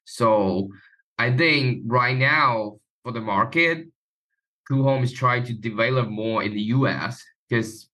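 A man's voice: mid-range voice, slow pace, bright, forceful tone, rough recording. A man from Taiwan, a guest chatting casually in an interview. His phrasing is loose and sprinkled with "you know". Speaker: guest, marketing professional.

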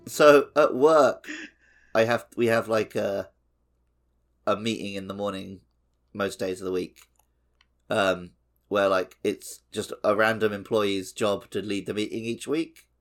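A man's voice: lowish voice, steady tone, unhurried, pauses often. A man chatting casually and jokily as a podcast co-host, rambling along. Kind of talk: casual chat.

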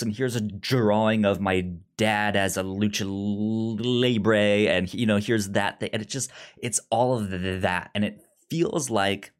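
A man with a pronounced stutter, speaking in a halting but enthusiastic way as a podcast co-host casually discussing films. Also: fairly low pitch. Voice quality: pronounced stutter, repeating sounds and words.

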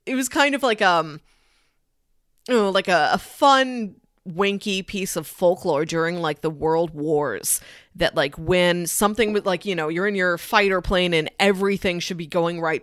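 A woman's voice: lowish voice, unhurried, a bit bright, no echo. Woman chatting on a podcast, dry sound. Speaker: podcast co-host. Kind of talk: casual chat.

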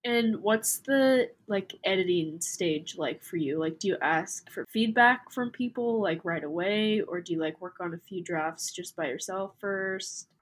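A woman's voice: lowish voice, a little slow, few pauses, husky. A young woman asks the questions on a college radio show in a studio. Her voice is stuffy and nasal from allergies. She keeps a friendly interview pace.

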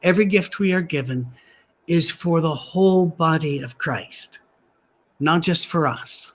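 A man, a pastor, preaching a sermon in a soft, gentle voice, conversational with dry humorous asides, at a church gathering held on a soundstage. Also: fairly high pitch, measured pace.

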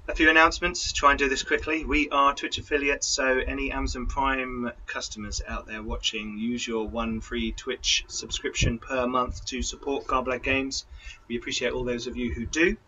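A man's voice: mid-range voice, flat delivery, moderate pace, bright, forceful tone, highs cut off, slight background noise. A man hosting a tabletop role-playing livestream as game master, chatting informally through announcements and correcting himself as he goes.

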